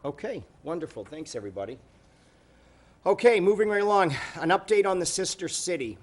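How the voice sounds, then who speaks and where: A man chairing a city council meeting, giving informal remarks and updates in a halting, conversational way. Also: high voice, big pitch swings.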